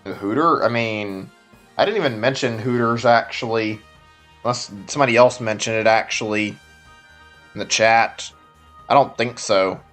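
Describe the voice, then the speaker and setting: A man's voice: mid-range voice, fairly bright tone. A man from Texas with a Texan accent, chatting loosely to his live-stream viewers as he plays, with lots of likes and restarts. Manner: rambling, filler-heavy, answers chat as he plays. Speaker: game streamer. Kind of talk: casual live-stream chat while playing.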